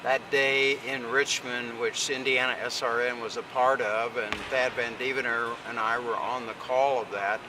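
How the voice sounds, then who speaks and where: An American man hosts a conversational sports interview in a voice of average pitch. His phrasing comes out in halting, stretched pieces, and there is clear ambient gym noise around him. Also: flat delivery. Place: basketball fieldhouse.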